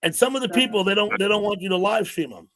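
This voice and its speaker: Raspy man, high casual voice. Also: fast talker, a crowd in the background. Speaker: live streamer. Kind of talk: casual live stream chat.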